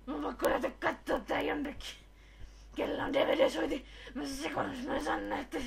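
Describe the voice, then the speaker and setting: A woman voicing an excitable, whiny young boy who gushes dramatically in an amateur comedy cartoon dub. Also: high-pitched, creaky. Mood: secretive, gushing. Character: excitable young boy, metal fan who secretly loves cute things.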